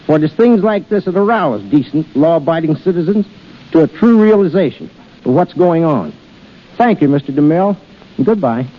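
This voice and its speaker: High, animated man on old radio. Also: brisk. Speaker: guest speaker on crime. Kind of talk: scripted 1930s radio interview. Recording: dull 1930s radio recording.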